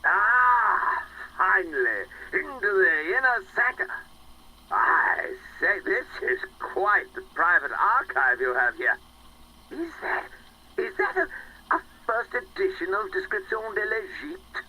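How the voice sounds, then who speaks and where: An actor playing a jovial, bumbling upper-class English gentleman, plummy and effusive, in a period audio drama. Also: sits high, very animated, slow pace, a little hiss or hum, full character voice, poor audio. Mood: excited, admiring.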